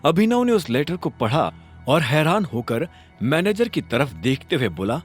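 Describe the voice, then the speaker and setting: A man giving dramatic, expressive scripted narration for an audio story. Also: fairly high pitch, measured pace, faint music behind.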